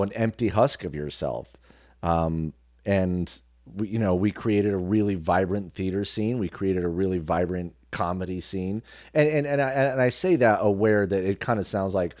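A man speaking as an interview guest, reflecting in a thoughtful, measured way and picking his words haltingly. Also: fairly low pitch, a little mellow, dry recording, clean studio sound. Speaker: guest, actor and comedian.

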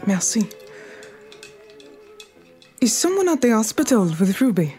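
A woman's voice: fairly high pitch, sing-song, measured pace, mellow. A regal, unbowed queen of the Harlem numbers racket. She speaks formally and gives orders with cool command, slipping into French courtesies. It is audio drama with music behind her. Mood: commanding, composed. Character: imperious, poised Harlem numbers queen, wounded but unbowed.

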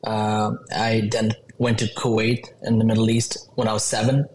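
A man in his thirties from Bangladesh, a podcast guest talking in a casual, upbeat way with many pauses and restarts. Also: lowish voice, monotone, darkish tone, slight background noise, low-quality recording. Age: 30s. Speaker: podcast guest, tech company founder. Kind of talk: casual interview chat.

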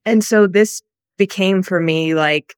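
A woman from the United States, telling her personal story as a guest in an interview, soft and reflective with many pauses. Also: on the low side, clear, resonant tone, no echo, studio quality.

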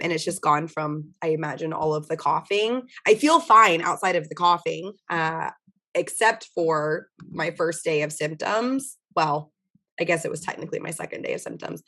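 Woman, hoarse, voice almost gone, low-pitched, rambling casual podcast chat. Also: a bit bright. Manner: chatty storytelling with many fillers. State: hoarse, voice mostly gone from illness. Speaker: podcast co-host.

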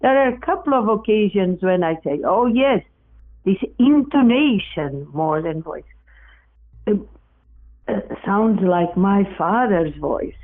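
A speaker from Portugal, answering interview questions in a halting way, often restarting and correcting words. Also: mid-range voice, expressive. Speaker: experimenter in spirit communication. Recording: tinny phone-like audio, faint music.

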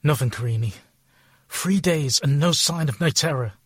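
An actor playing a troubled, loyal alien warrior, solemn and regretful, in an audio drama. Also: male voice, on the high side, normal speed, breathy edge, dry recording.

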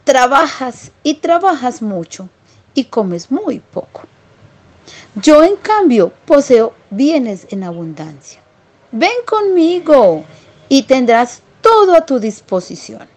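A woman's voice: sits high, big pitch swings, takes it slowly, a little mellow, pitched above the performer's own voice, heavily acted. A woman voicing a well-off, boastful male city mouse in a storybook tale, showy and coaxing.

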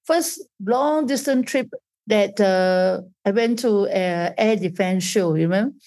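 Halting woman in her sixties. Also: medium pitch, darkish tone. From Malaysia, raised in Singapore. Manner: halting, restarts sentences often, searches for words. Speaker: podcast guest. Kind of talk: casual interview chat. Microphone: laptop video call.

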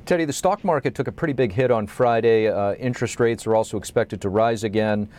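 A man hosting a news interview show, reading introductions and asking scripted, measured questions. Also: fairly low pitch, darkish tone.

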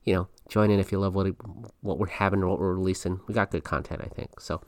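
Male podcast host, deep low register, rambling and giggly, dry close sound. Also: steady tone, fast talker, no echo. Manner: chatty, giggly, lots of fillers. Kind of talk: casual podcast chat.

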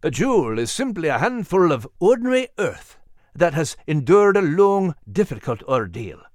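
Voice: medium pitch, big pitch swings, normal speed, no echo, clean studio sound, voice pushed up, close to an everyday voice. A narrator voicing a solemn old father giving instruction.

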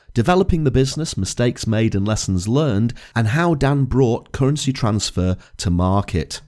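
A man's voice: fairly low pitch, darkish tone. A man hosting a podcast, giving an upbeat scripted intro and asking interview questions. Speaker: podcast host.

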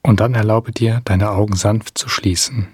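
A man narrating a guided meditation in a calm, soothing, measured way with long pauses, his voice soft and slightly husky. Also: on the low side, no room sound, clean studio sound.

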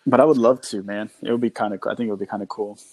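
A man's voice: fairly low pitch, fairly even intonation, rapid-fire, darkish tone, no echo. A man talking informally and conversationally as a guest on a podcast. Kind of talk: casual chat.

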